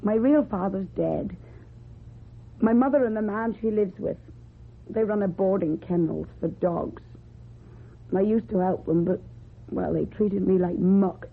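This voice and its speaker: A guarded young British runaway in an old radio play. Her voice is breathy and husky, with short, plain-spoken phrasing. The sound is thin and boxy, as on an old broadcast. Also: mid-range voice, measured pace, long pauses, narrow, tinny audio. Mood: guarded, bitter. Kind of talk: old-time British radio drama acting.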